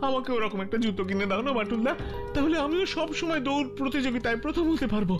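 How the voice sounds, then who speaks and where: A man's voice: very high-pitched, fast talker, over music. A man voicing an earnest, pleading young boy runner in a cartoon dub, who often repeats himself. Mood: pleading, curious. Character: earnest, dejected boy runner eager to win. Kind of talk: cartoon dubbing.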